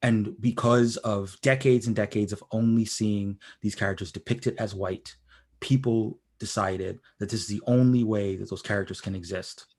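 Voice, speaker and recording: Guy hosting a nerdy podcast, lowish voice, deliberate, kinda repeats himself while he talks. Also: fairly even intonation, darkish tone. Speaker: podcast host. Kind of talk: conversational pop-culture commentary.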